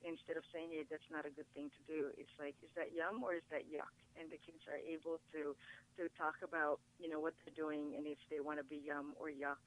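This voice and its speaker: A woman's voice: deep voice, flat delivery, a little fast, rough recording. A woman from the Philippines whose first language is Tagalog, talking eagerly as a guest author in a TV studio interview. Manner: upbeat, eager explaining. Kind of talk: casual interview talk.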